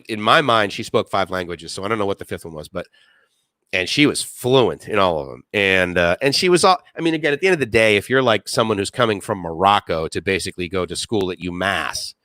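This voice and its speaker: Guy in his 50s chatting on a live stream, kinda low voice but bright, dry close sound, lots of ums. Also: no echo. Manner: rambling, lots of fillers and restarts, reads chat aloud. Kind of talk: casual live-stream chat.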